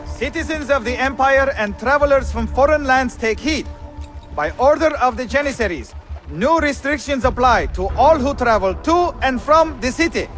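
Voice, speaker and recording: An actor playing an official town crier of an empire, making a formal, declamatory proclamation in a video game. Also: male voice, very high-pitched, expressive, moderate pace, a bit bright, faint music behind. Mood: official, stern.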